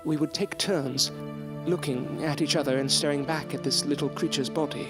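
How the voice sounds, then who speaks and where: An actor playing an earnest, devoted young medical assistant, speaking formally and reverently in a Gothic audio drama. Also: male voice, fairly high pitch, steady tone, moderate pace, loud music behind the voice, natural voice, rough recording. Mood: solemn awe. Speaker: actor narrating and playing a character.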